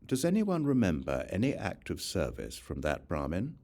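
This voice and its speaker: A male narrator voices a calm, authoritative teacher of monks in a scripture audiobook. The voice is very low and solemn, instructing with an expressive rise and fall. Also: normal speed, full character voice. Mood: calm, instructive.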